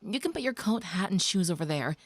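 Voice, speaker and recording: Woman voicing a gentle young vampire. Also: medium pitch, animated, brisk, no echo, close to an everyday voice. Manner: soft, reassuring, sometimes whispering. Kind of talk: audio roleplay to the listener.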